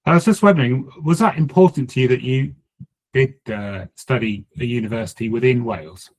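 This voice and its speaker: Podcast host man, mid-pitched questions. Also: a little fast, darkish tone. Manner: tentative, rambling questions. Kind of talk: podcast interview.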